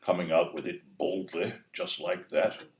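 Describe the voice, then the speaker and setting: A man acting in an audio play as a resigned older English husband, laconic and deadpan, with an English accent. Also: deep voice, a little slow. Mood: dry resignation.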